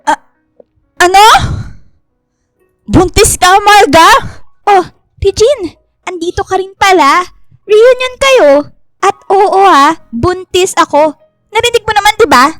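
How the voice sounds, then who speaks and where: A woman's voice: very high voice, animated, slow, pauses often, fairly bright tone, no echo, faint music behind, exaggerated voice. An actress playing a scheming, taunting young pregnant woman in a radio drama, mocking and haughty. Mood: brazen, gloating.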